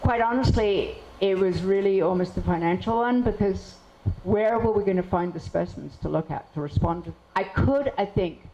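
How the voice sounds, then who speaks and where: A woman's voice: medium pitch, normal speed, few pauses, dark tone, slight background noise. A woman speaker answering an audience question candidly, with a rambling, frank manner. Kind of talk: lecture Q&A. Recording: dull muffled sound.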